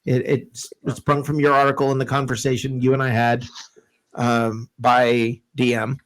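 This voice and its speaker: A man's voice: medium pitch, level tone, moderate pace, low-quality recording. Casual, emphatic male sports host. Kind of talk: casual live sports chat.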